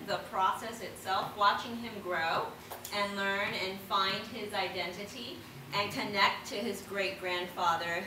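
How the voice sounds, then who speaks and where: A middle-aged woman talking reflectively as a parent on a discussion panel. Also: mid-range voice. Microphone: distant microphone. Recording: very echoey, distant, noisy room.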